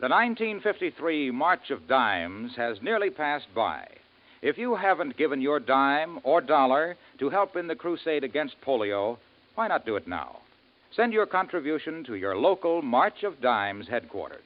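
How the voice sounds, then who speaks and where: A man's voice: fairly high pitch, very animated, moderate pace. A man reading scripted sponsor announcements as a 1950s radio commercial announcer. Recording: muffled vintage 1950s radio recording.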